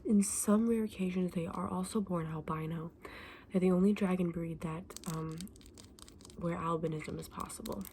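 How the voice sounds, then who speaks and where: A soft, breathy woman acting as a female shopkeeper of magical creature eggs in an ASMR roleplay. Her voice sits a little low and often slips into a whisper. She explains her wares gently and knowledgeably, over clear background noise. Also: fairly even intonation, natural voice. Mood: informative, gentle. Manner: gentle, unhurried, explanatory, addressing a customer. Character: friendly, knowledgeable female dragon egg saleswoman.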